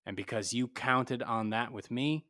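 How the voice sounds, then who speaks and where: A man's voice: medium pitch, unhurried, sharp tone. Man acting a hard-boiled, cynical radio detective. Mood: grimly resolved. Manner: clipped, blunt, accusing. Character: hard-boiled cynical male private detective, tough and unsentimental.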